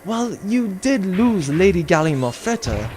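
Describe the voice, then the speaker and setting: A man voicing a polite, consoling subordinate with a measured, formal manner in an audio comedy. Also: high-pitched, big pitch swings, noisy background, voice pushed down. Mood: calm, consoling.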